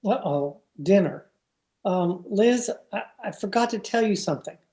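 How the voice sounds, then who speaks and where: Woman as a meek husband, soft mellow tone, hesitant, old-time radio comedy, echoey room. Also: medium pitch, lively intonation, measured pace, lightly acted. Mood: sheepish. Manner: hesitant, apologetic. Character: sheepish, placating suburban husband, a mother's boy. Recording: roomy, echoing home video-call recording.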